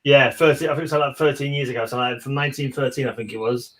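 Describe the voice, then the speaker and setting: A man talking casually about a story as a co-host, hesitant and halting as he searches for words. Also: mid-range voice, a bit bright. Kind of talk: casual book discussion.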